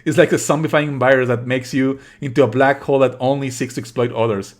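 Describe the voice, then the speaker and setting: A man's voice: mid-range voice, fairly even intonation, fast. A man doing live let's play commentary as a streamer, sardonic and indignant, swearing freely and at times reading game lines aloud.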